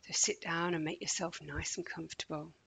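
Female meditation guide for children. Soft-edged breathy tone with vocal fry, measured and soothing delivery. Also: deep voice, fairly even intonation. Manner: gentle, measured, deliberate pauses.

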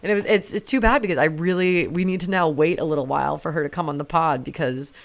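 Young woman co-host, deep for her, rambling and self-deprecating. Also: fast, creaky. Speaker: podcast co-host. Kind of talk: casual podcast chat.

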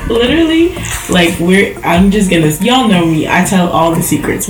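A woman's voice: fairly low pitch, reverberant, low-quality recording. A woman from Jamaica hosting a podcast, chatting casually and teasing with frequent laughs. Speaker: podcast host. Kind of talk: casual chat. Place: bedroom.

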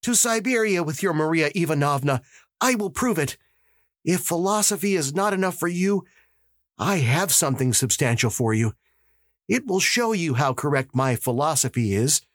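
A man voicing an eager, excitable young detective who pleads insistently in a raised, high voice. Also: normal speed, no echo, clean studio sound.

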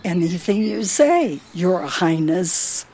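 A woman's voice: fairly low pitch, big pitch swings, slow, noisy background, heavily acted. A woman playing a feisty elderly wife in a radio play, teasing and needling her husband theatrically.

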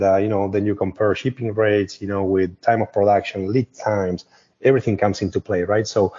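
A man with an Argentine Spanish accent talks in a low-pitched, mellow and husky voice. He explains things conversationally as an interview guest, in a discursive way. The audio is somewhat dull and muffled. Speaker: company co-founder, interview guest.

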